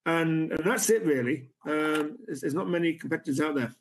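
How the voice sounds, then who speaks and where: A man speaks on a video call, answering investor questions as a company's chief executive. He keeps stopping and restarting his sentences, filling the gaps with "um" and "you know". His voice sits a little high for a man. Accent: non-American. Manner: halting, many restarts and fillers. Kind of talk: conference Q&A. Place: room with a city view at night.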